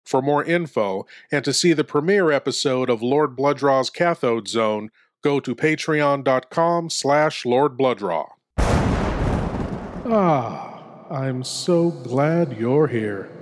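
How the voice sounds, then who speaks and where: Man playing slow, sinister horror host, cavernous reverb. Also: medium pitch, lively intonation. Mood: grandly promotional, then silky, welcoming menace. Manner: theatrical, deliberate, intimate, dramatic pauses. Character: suave, sinister male horror host lord. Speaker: show host. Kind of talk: scripted horror-host narration. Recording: long hall reverb.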